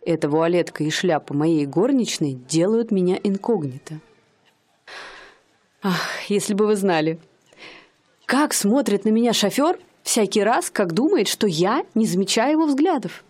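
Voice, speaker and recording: A woman's voice: medium pitch, lively intonation, a little slow, a little mellow. An actress playing a young woman who poses as a bored rich lady in a radio play, speaking in a haughty, mannered way. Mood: languid. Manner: haughty, mannered, languid speech.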